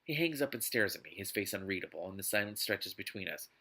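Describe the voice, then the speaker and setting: Bright, forceful man, exasperated and emphatic. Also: low voice, animated, quick pace, slightly rough. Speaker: reader and commentator on a book. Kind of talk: scripted reading broken by indignant commentary.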